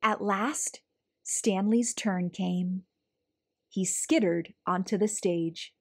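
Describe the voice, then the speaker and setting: A woman reading a children's story aloud with expressive, deliberate phrasing. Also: mid-range voice, slightly rough. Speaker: storybook reader, host. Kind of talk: children's read-aloud narration.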